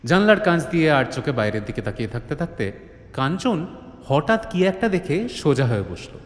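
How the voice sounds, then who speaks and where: A man's voice: on the high side, big pitch swings, a little fast. A man narrating a scripted horror-comedy story in a theatrical, suspenseful style. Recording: reverberant, faint ambient noise.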